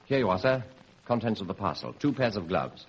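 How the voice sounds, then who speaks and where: A man playing an eager, impulsive young police constable in an earnest, excitable vintage radio drama style. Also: lowish voice, brisk, breathy edge, exaggerated voice. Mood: deferential, flustered. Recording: muffled old radio drama recording.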